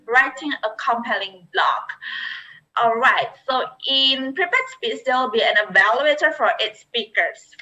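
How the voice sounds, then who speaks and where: A woman's voice: sits high, pushed and bright, muffled recording. A woman hosting an online club meeting, talking loosely with fillers. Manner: chatty, loose, with fillers and laughs. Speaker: meeting host. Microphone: video call.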